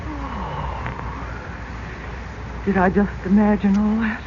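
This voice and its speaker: A woman's voice: medium pitch, expressive, slow pace. An actress playing an anxious, middle-aged widowed mother in a 1940s radio drama. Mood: frightened. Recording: muffled, noisy old radio recording.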